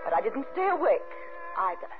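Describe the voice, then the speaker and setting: An actress playing an anxious, cold-hearted widow in intense first-person 1950s radio thriller style, on a narrow, muffled recording with music. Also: female voice, a little high, very animated, a little fast. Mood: tormented. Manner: dramatic, intense, confessional first-person telling. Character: anxious, cold-hearted widow, outwardly proper, inwardly unravelling.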